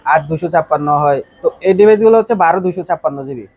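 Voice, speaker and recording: A Bangladeshi man pitches phones in casual sales chat. His voice is high and rough-edged, and he talks quickly, insistently repeating his key points. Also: a little mellow. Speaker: phone seller.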